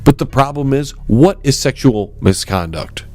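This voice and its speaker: Man, talk show host, strong voice, medium pitch, forceful, animated monologue. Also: moderate pace, faint music behind. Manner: addresses listeners as ladies and gentlemen. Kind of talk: opinionated talk radio monologue.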